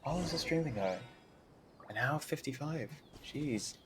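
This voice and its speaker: A man streaming a game with casual, halting, slightly mumbly commentary that rambles along. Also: a little high, animated, noisy background. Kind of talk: casual live gameplay commentary. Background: game music.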